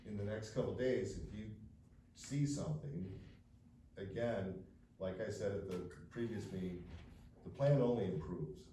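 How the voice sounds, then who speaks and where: Man, developer's rep, mellow voice, rambling, roomy meeting hall, faint hiss. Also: on the low side, moderate pace, long pauses. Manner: rambling, many fillers and restarts. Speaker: developer's representative. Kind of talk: presenting plans at a public meeting. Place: township meeting room. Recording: echoey room, distant mic, faint hiss.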